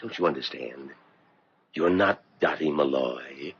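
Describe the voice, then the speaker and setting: An actor playing a mysterious man with a measured, commanding, cryptic manner, a calm guide through time in a 1970s radio drama. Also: a bass voice, slight background noise. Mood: firm, knowing. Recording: muffled vintage radio broadcast, music behind.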